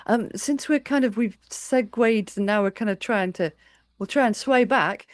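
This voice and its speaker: A woman's voice: on the high side, normal speed, no room sound, studio quality. A woman hosting a casual livestream interview, talking in a hesitant, encouraging way.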